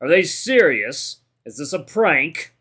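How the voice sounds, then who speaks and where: A man's voice: a little high, very animated, slow pace, pushed and bright, husky, exaggerated voice. A man voicing a huge, scarred veteran dinosaur fighter with a mocking, casual, slangy way of talking, for a game story. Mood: booming amusement.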